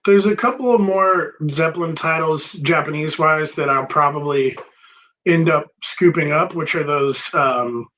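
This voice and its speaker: Relaxed man with a higher voice. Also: measured pace, poor audio. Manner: relaxed, rambling, many ums. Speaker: record store host. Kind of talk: casual chat about vinyl records.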